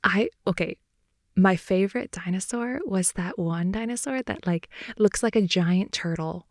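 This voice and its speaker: A young woman improvising an intimate ASMR girlfriend roleplay, rambling in a playful, slangy way and slipping between soft whispers and more animated talk, close to the mic. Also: medium pitch, measured pace, no room sound. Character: wide-awake chatty girlfriend, silly and playful. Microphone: close microphone, dry sound.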